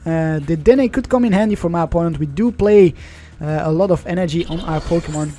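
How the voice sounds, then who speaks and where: A man's voice: fairly high pitch, a little fast, dark tone. A young man whose first language is Dutch, casually commenting on an online card game in a chatty, rambling way, often correcting himself. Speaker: gaming channel host.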